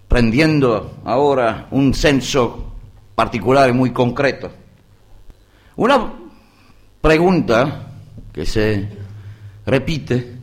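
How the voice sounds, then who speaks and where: Slow Greek-accented man giving a political speech. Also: mid-range voice. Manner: halting non-native delivery, slow with pauses and searching for words; rising emphatic bursts on key words. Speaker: guest speaker at a political conference.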